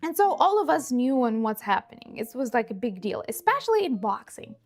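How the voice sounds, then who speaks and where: A woman's voice: fairly high pitch, fairly quick, faint music behind. A woman from Ukraine, a native Ukrainian speaker with a Ukrainian accent, chatting casually and bubbly as she hosts a cooking livestream. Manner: bubbly, animated, rambling. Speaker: livestream host.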